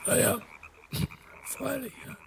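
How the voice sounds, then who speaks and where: An actor playing a crusty old retired English major in a radio play, speaking in clipped, gossipy military fragments with a gravelly, cough-prone voice. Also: male voice, slow, noisy background, lightly acted. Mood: gruff reminiscing. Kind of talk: radio drama acting. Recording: clear outdoor ambience with croaking frogs.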